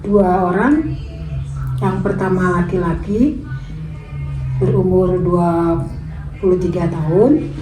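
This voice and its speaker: A woman's voice: on the low side, slow, pauses often, roomy sound, over music, rough recording. A woman in her fifties answering interview questions hesitantly, with many fillers. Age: 50s. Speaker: interviewed mother.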